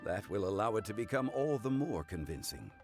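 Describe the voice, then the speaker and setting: An actor playing a wise, courteous gentleman in a game, speaking formally and with measured calm. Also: lowish voice. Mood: thoughtful. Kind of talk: dramatic game voice acting. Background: music.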